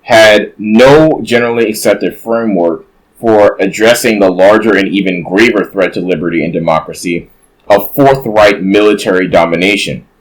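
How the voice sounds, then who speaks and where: A man reading a nonfiction book aloud in a measured way, now and then stopping to correct a slip. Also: fairly low pitch, poor audio.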